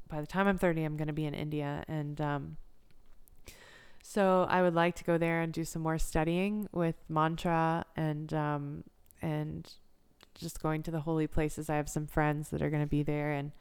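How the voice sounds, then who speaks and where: A thirty-year-old American woman with a low, very smooth and clean voice. She speaks informally and reflectively, like a yoga teacher chatting in an interview. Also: level tone, moderate pace, a little mellow. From United States. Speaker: yoga instructor, interview guest. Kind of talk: casual conversational interview.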